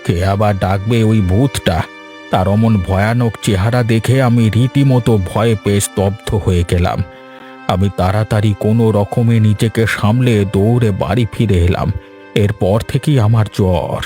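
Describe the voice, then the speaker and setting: A man's voice: fairly low pitch, normal speed, dark tone, lightly acted. A man voicing a frightened, earnest elderly villager in a cartoon, telling things in a plaintive, insistent way. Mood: shaken, plaintive. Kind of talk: Bengali cartoon voice acting. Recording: background music bed.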